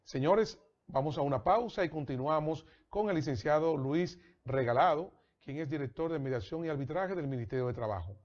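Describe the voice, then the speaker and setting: A man's voice: fairly high pitch, lively intonation, brisk, few pauses, darkish tone, lo-fi sound. A man, hosting a TV interview, finishing his guest's sentences and leading into breaks in a formal announcer manner. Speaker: TV show host.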